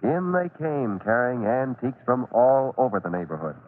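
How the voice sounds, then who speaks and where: A man's voice: medium pitch, sing-song, normal speed, a little hiss or hum. A man telling a scripted story as the narrator of an old-time radio drama. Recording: tinny old radio recording.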